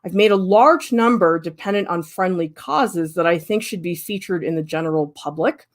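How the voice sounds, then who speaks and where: A middle-aged woman art professor giving a candid, lively livestream critique and answering viewers' questions. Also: on the low side, moderate pace. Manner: candid, lively, emphatic, with frequent "I mean".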